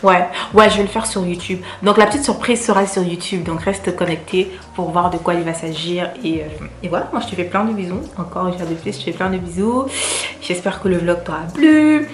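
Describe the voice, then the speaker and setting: A woman with a French accent talks to her viewers in a relaxed vlog, her voice a little low for a woman and stacking repeated words for emphasis. The room is echoey, with a faint hum of ambience behind her. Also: fairly quick. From Cameroon. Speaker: vlogger. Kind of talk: casual vlog chat.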